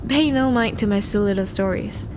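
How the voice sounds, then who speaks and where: A woman as a courteous, lovelorn noble vampire, noisy rumble. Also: fairly quick, lightly acted. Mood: earnest, apologetic. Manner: formal, courteous, hesitant, soft-spoken confessions. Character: once-noble, charming, lovelorn female vampire on a date. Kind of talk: intimate romantic audio roleplay addressed to the listener.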